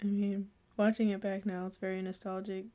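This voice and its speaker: A woman's voice: medium pitch, little pitch movement, brisk, warm tone. Playful young woman bantering casually. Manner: playful, slangy, jokey interjections. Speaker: podcast co-host.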